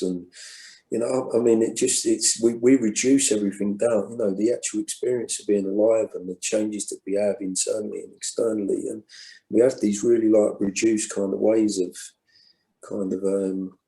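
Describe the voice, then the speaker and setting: Male guest, low warm voice, muses slowly with lots of restarts, echoey room. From England. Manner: thoughtful, many restarts and fillers. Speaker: guest poet. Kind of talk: reflective conversation.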